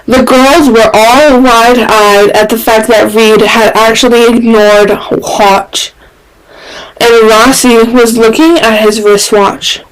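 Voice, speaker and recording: A woman's voice: on the high side, steady tone, takes it slowly, slight background noise, low-quality recording. A woman reading scripted fan fiction narration as a podfic narrator.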